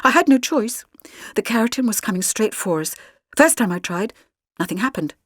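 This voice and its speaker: An earnest young boy hero, played by a woman reading a story aloud, speaks with breathy urgency. The pitch is on the high side and the sound is very dry. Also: expressive, normal speed, dry recording. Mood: relieved, explaining.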